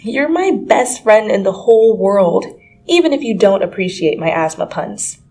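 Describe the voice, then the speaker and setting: A woman's voice: mid-range voice, big pitch swings, normal speed, mellow, a little hiss or hum, voice pushed up. A woman as a playful, teasing teenage best friend. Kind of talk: dramatic fan fiction reading. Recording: music behind the voice.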